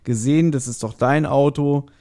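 A man hosting a podcast, casually retelling a story in an animated way and acting out short quotes. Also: mid-range voice, normal speed. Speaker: podcast host. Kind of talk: casual chatty episode recap.

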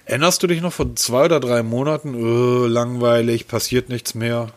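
A man from Hamburg co-hosting a casual tech podcast, telling stories with sarcastic, animated rants. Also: mid-range voice, moderate pace. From Hamburg, Germany. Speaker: podcast co-host.

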